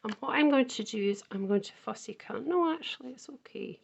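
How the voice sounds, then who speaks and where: A woman with a croaky voice, casually talking through a card-making tutorial and thinking aloud as she works. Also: fairly high pitch, lively intonation, fairly quick, lo-fi sound. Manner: chatty, thinks aloud while working.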